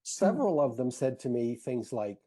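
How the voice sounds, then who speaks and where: A man speaking casually as an interview guest, halting and repeating words as he thinks. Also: mid-range voice, sing-song, dark tone.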